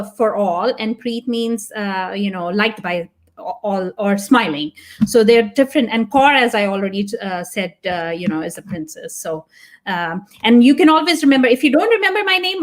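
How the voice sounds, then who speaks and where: A woman's voice: a little high, expressive, moderate pace. A woman whose first language is Punjabi, speaking as a podcast guest in an earnest, reflective way, thinking aloud. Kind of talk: casual interview talk.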